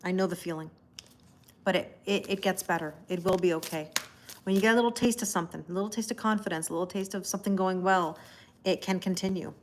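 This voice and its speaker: A woman's voice: on the low side, quick pace, slight background noise. A woman from Canada hosting a tarot livestream, chatting casually and rambling as she answers viewers by name, often laughing. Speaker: tarot reader and livestream host.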